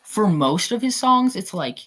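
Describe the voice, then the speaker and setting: A man's voice: high-pitched, very animated, unhurried, a bit bright. Chatty young man rambling casually. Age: young adult. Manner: chatty, rambling, frequent "like" fillers. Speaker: guest actor. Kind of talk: casual podcast chat.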